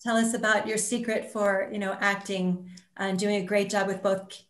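A woman moderates a casual online talkback. She speaks over a video call at a middling pitch, putting questions to the guests. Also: little pitch movement, moderate pace, reverberant. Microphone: video call microphone.

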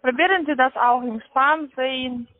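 A woman with a foreign accent in German, giving insistent, halting, repetitive step-by-step instructions as a call centre agent on a phone call. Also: sits high, slight background noise. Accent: foreign, non-native German. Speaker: call centre agent selling a trading account. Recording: tinny band-limited phone line.

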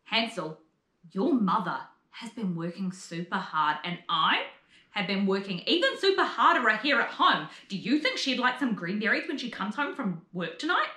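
A woman's voice: medium pitch, big pitch swings, moderate pace, pushed and bright. A woman playing a scheming, exasperated stepmother in a children's fairy tale, theatrical and bossy. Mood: bossy, coaxing. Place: small living room, on a sofa. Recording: roomy indoor echo.

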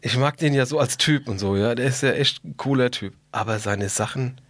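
A man's voice: mid-range voice, brisk, fairly bright tone, no room sound. A man hosting a podcast in casual chat, talking in a colloquial, blunt, joking way. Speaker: podcast host.

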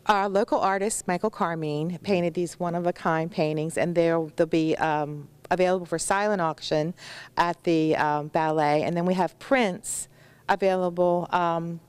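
A middle-aged woman speaks in a low, smooth voice into a reporter's handheld mic inside a large theater. She answers in an interview, hesitating now and then with fillers. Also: fairly even intonation, moderate pace, no echo. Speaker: library foundation organizer. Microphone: handheld mic held by reporter.

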